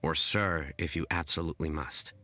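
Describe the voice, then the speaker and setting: A man's voice: rumbling low, moderate pace, bright, forceful tone. An actor playing a stern young male official in a game cutscene, dry, formal and clipped.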